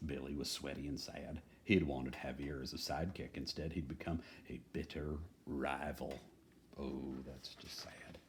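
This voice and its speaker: A man's voice: extremely low pitch, steady tone, normal speed, a little hiss or hum. A man reading a children's picture book aloud in a folksy, playfully dramatic manner, adding chatty asides. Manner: folksy, playful dramatic delivery, dropping to hushed tones.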